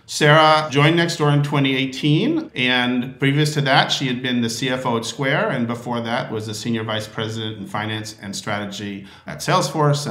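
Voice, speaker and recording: A man's voice: medium pitch, fairly bright tone. Man hosting an interview in an echoey room. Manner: friendly, slightly halting with fillers. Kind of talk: hosted interview.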